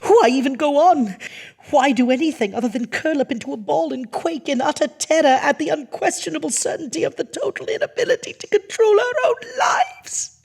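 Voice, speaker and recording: A woman playing a terrified, fanatical devotee of dark gods in a theatrical, grandiose horror-comedy radio drama. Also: sits high, expressive, brisk, vocal fry. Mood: panicked despair.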